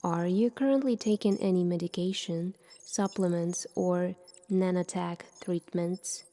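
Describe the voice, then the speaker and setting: A woman in a soft-spoken ASMR roleplay, playing a calm, formal military doctor in a measured, clinical manner. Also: on the low side.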